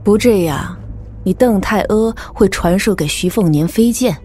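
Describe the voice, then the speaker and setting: An actress playing a composed, probing martial arts elder in an audio drama, speaking gravely and with measure. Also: female voice, medium pitch, measured pace, dark tone, faint music behind.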